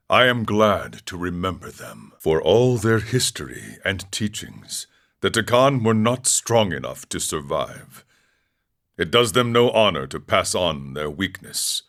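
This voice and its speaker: An actor playing a serene, eccentric ascetic monk in a video game, speaking in a measured, philosophical way with formal phrasing. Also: male voice, sits low, fairly bright tone, full character voice. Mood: solemn, resolved. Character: serene, eccentric ascetic drug-taking monk who relishes suffering. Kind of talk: scripted game character dialogue.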